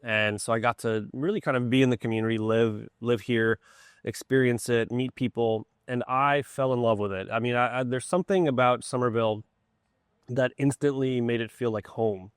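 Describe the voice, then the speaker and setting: Man, mid-pitched, informal and enthusiastic interview guest, dry close sound. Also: fairly even intonation, moderate pace, clear, resonant tone, no room sound. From Poland. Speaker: orchestra music director, interview guest. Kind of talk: casual conversational interview.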